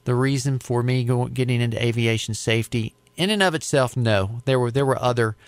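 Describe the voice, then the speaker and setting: A middle-aged man with an American accent, telling his experiences in a conversational interview in a reflective, explanatory way with halting phrasing. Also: mid-range voice, clean tone, dry recording. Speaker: interview guest, aviation safety advocate.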